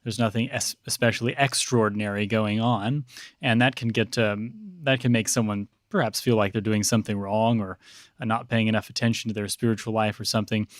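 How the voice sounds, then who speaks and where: Measured American man, thoughtful priest, dry sound. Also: lowish voice, fairly even intonation, no room sound. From Texas, United States. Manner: measured, hesitant, thoughtful phrasing. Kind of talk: reflective interview talk.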